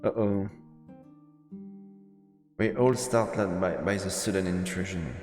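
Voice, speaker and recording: A man streaming a visual novel, reading the narration aloud in an expressive storyteller manner with asides. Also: low-pitched, takes it slowly. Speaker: streamer, let's player. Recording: echoey reverberant room, music behind. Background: game music.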